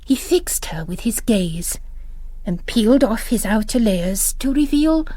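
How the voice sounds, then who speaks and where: A woman's voice: fairly high pitch, expressive, measured pace, warm tone, no echo. A woman giving a scripted literary narration of a novel.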